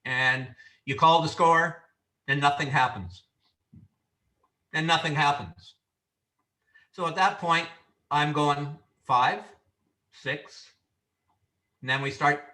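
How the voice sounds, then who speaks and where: A man teaching referee trainees in a loud, confident, instructive way, with casual asides and demonstrated calls. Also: a little high, reverberant, recorded from a distance, rough recording. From Canada. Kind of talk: training lecture.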